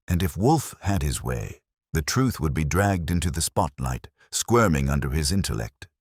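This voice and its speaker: A man's voice: rumbling low, husky, dry recording, clean studio sound. A man reading a scripted detective story as an audiobook narrator.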